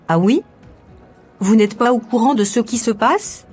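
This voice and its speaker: A woman's voice: on the high side, unhurried, a little mellow, husky, soft background music. A woman voicing a worried preacher's wife. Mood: concerned.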